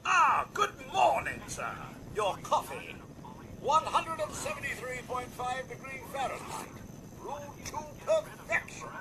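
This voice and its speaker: A fussy, polite robot butler, with a very high voice that swings wildly in pitch. The delivery is formal, precise and eager to serve, in theatrical video game style over clear background noise. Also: male voice, sing-song, measured pace, full character voice. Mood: cheerful, proud.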